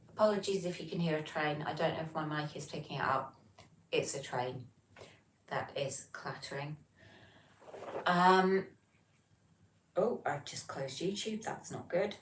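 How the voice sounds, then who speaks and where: British female streamer, low register, informal and chatty, recorded at a distance with background noise. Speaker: livestream host. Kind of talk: casual livestream chat, reading out viewer comments. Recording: roomy, distant mic, noticeable background noise.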